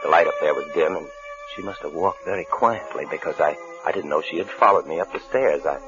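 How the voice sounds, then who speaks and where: Wry fugitive husband, very low voice, American accent, measured delivery, muffled vintage radio drama recording. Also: male voice, music in the background. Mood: wry, reflective. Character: cool, wryly scheming husband and fugitive killer, narrating. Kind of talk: 1950s radio suspense acting with narration.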